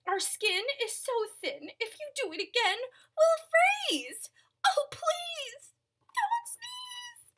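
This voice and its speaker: A woman puts on a worried little storybook fish, begging and whiny. The voice climbs very high and bright as it pleads. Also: sing-song, takes it slowly, exaggerated voice. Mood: pleading.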